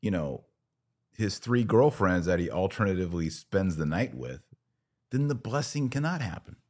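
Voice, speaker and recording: A man's voice: sits low, lively intonation, moderate pace, a little mellow. A man hosting a podcast, talking conversationally and arguing a point emphatically through hypothetical examples. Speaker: podcast host.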